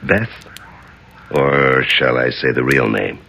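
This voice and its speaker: Very deep, gravelly sly villain. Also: male voice, slow pace, bright, forceful tone, heavily acted. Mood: taunting, menacing. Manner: oily, taunting. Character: sly, menacing male schemer dropping the pretense. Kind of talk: 1970s radio drama acting.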